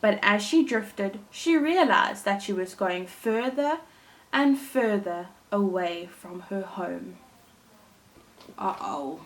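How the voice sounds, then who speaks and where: A woman with a mid-pitched voice reads a storybook to young children at a slow pace. She sounds like a primary teacher, deliberate and expressive, leaning on key words for drama. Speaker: primary school teacher reading to her class.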